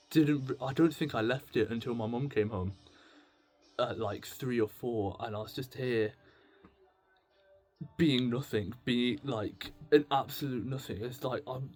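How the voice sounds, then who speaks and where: A young British man talking casually to camera in a confessional vlog, rambling, halting and self-deprecating. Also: medium pitch, darkish tone. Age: young adult. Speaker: video blogger. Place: indoors at home with music on in the background.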